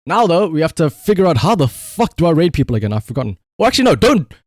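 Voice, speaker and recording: A man's voice: a little high, very animated, fast, darkish tone, no echo, clean studio sound. A man livestreamer chatting casually and rambling to his viewers, swearing freely with playful exasperation. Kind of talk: casual chat to stream viewers.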